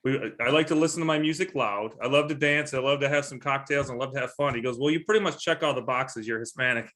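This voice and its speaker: A man's voice: mid-range voice, quick pace, fairly bright tone. Friendly rambling man on a video call. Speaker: staffing firm panelist. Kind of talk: video call self-introduction.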